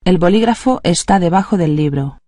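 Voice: medium pitch, normal speed, no room sound, studio quality. Neutral reader giving drill prompts. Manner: even, neutral prompt reading. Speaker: Spanish phrase reader. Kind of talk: read-aloud language drill.

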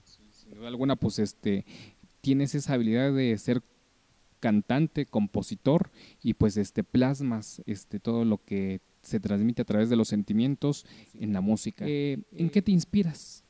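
A man's voice: medium pitch, unhurried, dark tone, no echo. A young man hosting an interview, asking questions in a wordy way with many fillers, on a handheld mic indoors. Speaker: interviewer host. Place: small indoor room.

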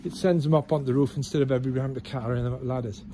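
A man in his fifties giving a casual, plain-spoken, halting update as a property vlogger, recorded outdoors on a handheld phone. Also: mid-range voice, fairly even intonation, dark tone, a little hiss or hum. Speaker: property developer presenting a video channel. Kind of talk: casual site-update vlog. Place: outdoors on a barn building site. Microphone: handheld phone at arm's length.

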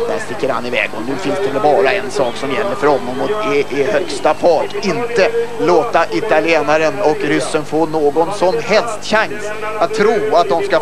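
A Swedish man commentates a ski race with a fairly high voice and a measured, building delivery. Loud music sits behind him on an old tape recording. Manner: measured, rising to excited calls at the finish. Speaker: sports commentator.

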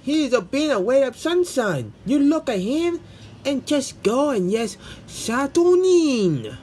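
Man as gushing young friend for comic dub, raised swooping pitch, emphatic, slight noise behind. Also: big pitch swings, slow, a little mellow, full character voice.

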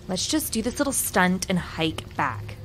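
A headstrong, sceptical teenage girl in a horror audio drama. Her voice is on the low side, brisk and dry, with music underneath. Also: very animated, low-quality recording. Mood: impatient.

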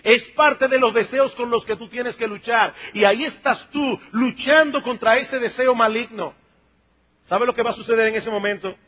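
A middle-aged man delivers a sermon in a high, forceful voice with a raspy, husky grain. He speaks emphatically as a pastor, putting questions to his listeners and addressing them directly. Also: normal speed. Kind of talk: sermon, expository preaching to a congregation.

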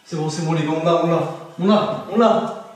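A young man vlogging casually at home, excitable and chatty, talking straight to his viewers and laughing often. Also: a little high, a little fast, a little hiss or hum. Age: young adult. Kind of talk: casual vlog to camera. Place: indoor bedroom at home. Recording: roomy echo, voice from off-mic.

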